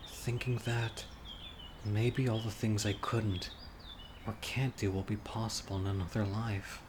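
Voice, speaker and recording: A man acting a critically ill, wistful and obsessive young man in an intimate, earnest audio roleplay monologue, musing softly to his date. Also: on the low side, little pitch movement, measured pace, lightly acted. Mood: wistful, hopeful. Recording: clear outdoor insect and bird noise. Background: birdsong, crickets and insects of a lakeside.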